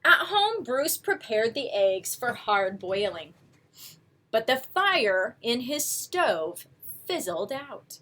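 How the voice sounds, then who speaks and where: Female children's storyteller, highish voice, very lively intonation, picture-book read-aloud, coaxing asides. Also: takes it slowly. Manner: expressive, coaxing asides to young listeners.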